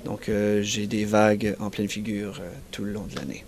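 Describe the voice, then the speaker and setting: A young man with an Acadian French accent speaks in a lowish voice. He pauses a lot and restarts phrases as he hunts for words. He talks casually, as a musician answering questions at a festival. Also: little pitch movement, gravelly, a little mellow. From New Brunswick, Canada. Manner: halting, searching for words, many restarts. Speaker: singer-songwriter guest.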